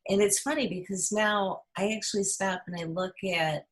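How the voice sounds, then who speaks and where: A woman's voice: mid-range voice, fairly even intonation, moderate pace. A woman hosting a casual interview over a video call. She sounds encouraging and laughs readily. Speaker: host.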